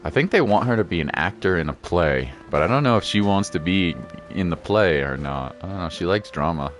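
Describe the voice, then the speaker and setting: A woman's voice: a bass voice, animated, a little fast, soft background music. A woman giving casual live gameplay commentary as a streamer, rambling and thinking aloud. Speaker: game streamer.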